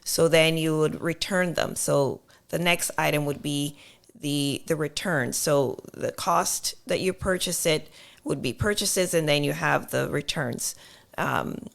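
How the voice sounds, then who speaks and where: A woman explaining accounting on a podcast, patiently walking through step-by-step examples with many fillers, her voice slightly hoarse. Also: low-pitched, unhurried. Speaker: accountant guest.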